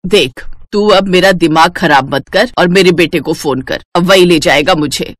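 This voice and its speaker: A woman's voice: fairly low pitch, normal speed, a little hiss or hum, natural voice. A woman voicing a cranky older mother-in-law in a cartoon story, wailing, scolding and complaining. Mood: angry, blaming. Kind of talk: cartoon moral story acting.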